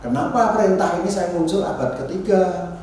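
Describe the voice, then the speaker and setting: A man giving an informal academic lecture as a university teacher, halting and restarting, often adding tag questions. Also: high-pitched, a little mellow. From Indonesia. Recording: very echoey room, distant mic, faint noise.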